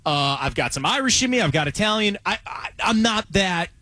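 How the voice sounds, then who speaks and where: A man hosting sports radio, telling stories in a chatty, casual way. His pitch sits a little high and swings widely as he talks. The studio sound is dry and close. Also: brisk, no echo. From Cleveland, Ohio. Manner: chatty storyteller, animated.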